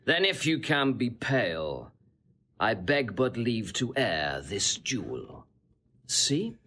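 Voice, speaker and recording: An actor playing a sly, boastful gentleman seducer in classical Shakespearean audio drama, insinuating and relishing every detail. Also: medium pitch, sing-song, slow pace, a little hiss or hum, full character voice. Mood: mocking. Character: sly, boastful Italian gentleman, smooth-tongued seducer taunting a husband.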